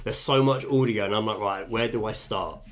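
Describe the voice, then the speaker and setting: A man's voice: lowish voice, normal speed. A man in his thirties co-hosting a podcast, chatting casually in a home kitchen, swearing freely and laughing often. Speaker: podcast co-host. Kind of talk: casual chat.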